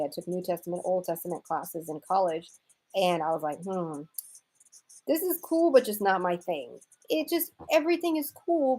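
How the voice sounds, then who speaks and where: A woman's voice: fairly low pitch, mellow, poor audio. An American woman from Houston chatting casually as a live-stream host, reading out and answering viewer comments in a wry, irreverent, slangy way. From United States, raised in Houston, Texas.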